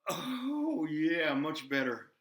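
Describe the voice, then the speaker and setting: Man voicing an excitable folksy buzzard, swooping pitch. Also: drawn-out words, reverberant, exaggerated voice. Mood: flustered. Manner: folksy, repetitive, easily flustered, giggly exclamations. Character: excitable, folksy, bumbling old farm buzzard puppet host. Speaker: children's show host. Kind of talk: rambling children's show chat.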